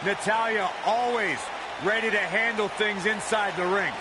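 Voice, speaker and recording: A man's voice: sits high, steady tone, moderate pace, close to an everyday voice. A man voicing a brash wrestling commentator in a video game, hyping the entrants and mocking his partner. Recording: game audio over loud crowd and noise.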